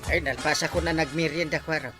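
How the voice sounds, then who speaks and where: A man's voice: fairly high pitch, fairly even intonation, fast talker, loud music behind the voice, close to an everyday voice. A man with an Ilocano accent playing a wily, scolding village captain in a comedy drama. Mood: casual.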